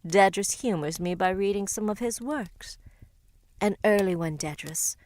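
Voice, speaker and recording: A woman playing a dry-witted, grieving older witch in a visual novel, speaking in a formal, measured, wry way. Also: mid-range voice, a little mellow, lightly acted. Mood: bitter grief. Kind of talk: scripted visual novel drama.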